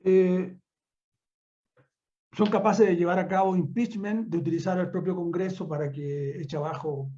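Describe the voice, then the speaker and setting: High-pitched older Chilean man, dull audio. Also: steady tone, normal speed, dark tone. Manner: emphatic, repeats words and phrases for emphasis. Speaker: university professor, guest speaker. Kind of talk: extemporaneous online academic lecture. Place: remote online conference call. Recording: dull lo-fi online call audio.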